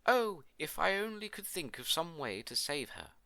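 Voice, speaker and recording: A man voicing a gentle, anguished captive queen from a storybook, speaking in a tender, dramatic, pleading way. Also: mid-range voice, wildly swooping pitch, moderate pace, vocal fry, no echo, heavily acted. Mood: yearning, hopeful. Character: gentle, anguished imprisoned queen and devoted young mother. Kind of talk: storybook character voice.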